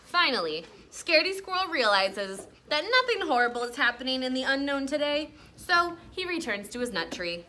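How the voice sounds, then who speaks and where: A woman with a bright, fairly high voice reads aloud as a schoolteacher. She is expressive and instructional, stopping to explain and check in with questions. There is faint noise behind her. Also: moderate pace, few pauses. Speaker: elementary school teacher. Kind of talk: classroom read-aloud with teaching commentary.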